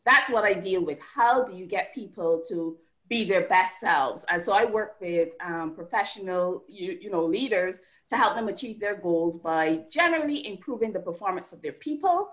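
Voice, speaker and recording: A woman from Barbados with a Barbadian accent, a guest author and coach talking through an interview in animated, rhythmic runs of points. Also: mid-range voice, moderate pace, hardly any pauses. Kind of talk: podcast interview conversation.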